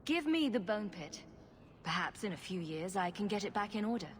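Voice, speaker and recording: An actress plays a self-assured champion in a fantasy game. Her mid-pitched voice is wry yet lively in its movement, set in a reverberant space with faint music. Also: fairly quick, slight background noise, natural voice. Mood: firm, serious. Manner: dry, sardonic. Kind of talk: video game dialogue acting.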